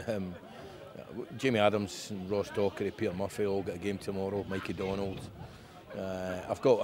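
Football manager, fifties, lowish voice, frank and plain-spoken, windy outdoor pitch. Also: male voice. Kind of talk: post-match interview. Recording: outdoor recording with wind noise.

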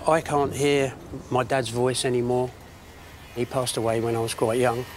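A man's voice: medium pitch, little pitch movement, moderate pace, a little hiss or hum. A man in his sixties chatting casually and reminiscing as a guest in a barn workshop. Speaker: guest bringing an heirloom for repair. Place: large timber barn workshop.

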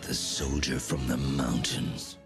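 A woman reading a storybook aloud, voicing a cruel male warlord villain with a low, growling menace. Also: flat delivery, slow pace, music in the background, full character voice. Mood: menacing.

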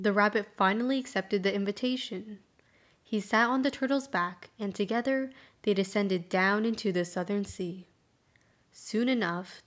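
A woman narrating a scripted folktale as storyteller, in measured, clearly phrased sentences. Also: mid-range voice.

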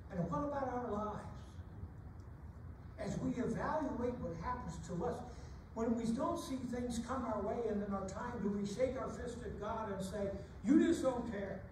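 Distant, very echoey older male preacher. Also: extremely high pitch. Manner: deliberate, emphatic, with pauses between phrases. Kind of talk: sermon with scripture reading and rhetorical questions. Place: church sanctuary. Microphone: far camera from the pews. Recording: very echoey, noisy room, distant mic.

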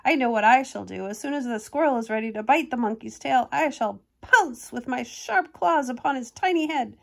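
A woman's voice: fairly high pitch, expressive, normal speed, vocal fry. Woman voicing a scheming little bird. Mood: scheming glee. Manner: eager, plotting.

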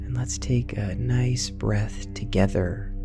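A man guiding a sleep meditation, speaking slowly and softly and sometimes dropping to a whisper. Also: on the low side, steady tone, creaky. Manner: slow, soft and soothing, drifting into whispers. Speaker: meditation guide. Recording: dull lo-fi voice over singing bowl music.

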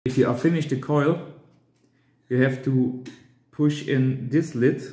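A man in his thirties walks through a DIY build at a slow pace. His English is simple and non-native, given in halting steps at a medium pitch. The room around him adds a clear echo. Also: fairly even intonation, darkish tone. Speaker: amateur radio hobbyist presenter. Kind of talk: hands-on DIY tutorial. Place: hard-walled room indoors at a table. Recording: roomy, echoing indoor sound.

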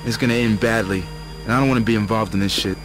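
A man's voice: on the low side, fairly even intonation, fast talker, music in the background, natural voice. A man playing a suspicious, foul-mouthed, jittery sidekick in a video game, hesitant and grumbling. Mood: agitated. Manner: hesitant, grumbling, casual swearing. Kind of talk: video game cutscene acting.

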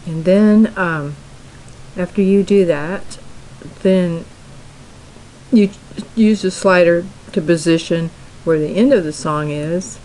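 A middle-aged woman hosting a software tutorial, explaining each step plainly and slowly with frequent fillers. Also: on the low side, background noise. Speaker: tutorial host. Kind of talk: screen-recorded software tutorial.

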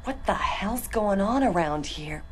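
A woman's voice: medium pitch, lively intonation, measured pace, heavy background noise, natural voice. A woman playing a stern, commanding police officer in a video game. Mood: bewildered.

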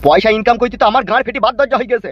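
A man doing a high, rapid, blustering cartoon fellow. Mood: exasperated. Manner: complaining, boastful, swearing. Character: exasperated, blustering, foul-mouthed man, harried father. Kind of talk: comedy cartoon dialogue. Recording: muffled audio with faint music.

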